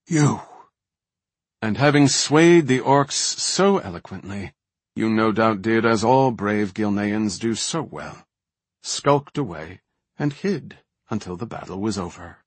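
A contemptuous rival king played by a male narrator speaks with sneering sarcasm and cold command. It is fantasy audiobook acting, slightly husky and recorded dry. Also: on the low side, lively intonation, slow pace, no room sound, heavily acted. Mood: mocking.

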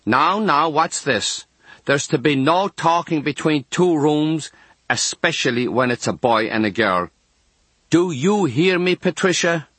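A man acts out a brisk Irish nun from a storybook. The voice is slightly raised, emphatic and admonishing, like someone used to giving orders on a ward. It is recorded dry. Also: on the high side, lively intonation, fairly bright tone, slightly rough, dry recording, pitched above the performer's own voice, heavily acted. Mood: scolding. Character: brisk, scolding Irish hospital nun, a grown woman.

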